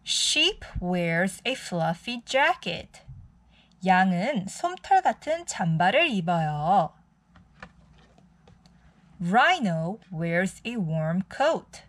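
A woman's voice: fairly low pitch, sing-song, moderate pace, fairly bright tone. A woman reading a picture book aloud for young children as a storytime host.

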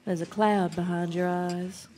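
An actress playing a flirty, worldly stranger in a bar for a radio drama, teasing, sly and persistent. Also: female voice, on the low side, steady tone, unhurried, mellow, close to an everyday voice. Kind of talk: live radio drama acting.

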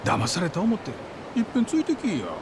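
An actor playing a swaggering, bullying yakuza thug in a video game, with a Kansai accent and an aggressive, pushy manner. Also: male voice, high-pitched, wildly swooping pitch, fast talker, noisy background. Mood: wheedling. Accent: Kansai Japanese. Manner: coaxing, snarling, aggressive. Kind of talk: video game cutscene acting.

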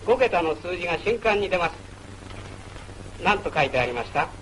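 A man's voice: high-pitched, animated, normal speed, a bit bright, slight background noise, poor audio. A man narrating a wartime military training film in a formal, instructional manner, on an old film soundtrack. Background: music.

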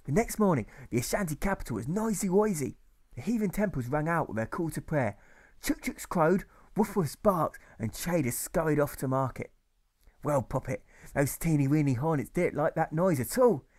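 A man's voice: on the high side, animated, normal speed, darkish tone. A man voicing a doting female Sunday school teacher who tells a child a story in cooing, sing-song baby talk.

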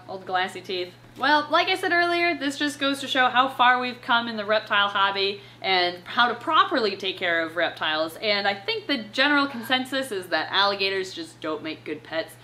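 Animated, bright-voiced young woman. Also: medium pitch, brisk, slight background noise. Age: young adult. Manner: animated, playfully sarcastic. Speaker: presenter of a pet reptile channel. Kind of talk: casual chat with read-aloud passages and commentary. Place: living room couch at home, indoors.

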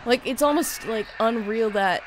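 A young woman giving casual, halting, sweary reaction commentary to game cutscenes, close on a desk microphone. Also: fairly high pitch, a little hiss or hum, poor audio. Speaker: gaming streamer. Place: indoor room at a desk. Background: game music and sound.

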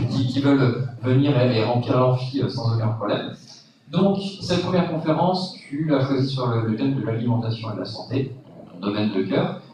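A man's voice: mid-range voice, darkish tone, distant mic, slight background noise. A man hosting a science talk, introducing the speaker in an informal, joking and stumbling way. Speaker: event host. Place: large lecture hall. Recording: echoey lecture hall, from afar.

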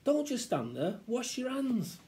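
Man putting on a high, nagging British mum. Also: very animated, warm tone, heavily acted. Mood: exasperated, scolding. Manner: brisk, nagging. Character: exasperated, bossy mother scolding her young son.

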